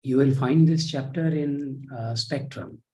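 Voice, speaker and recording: A man teaching an online history class on a video call, reading out students' chat messages with many pauses and fillers. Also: mid-range voice, warm tone.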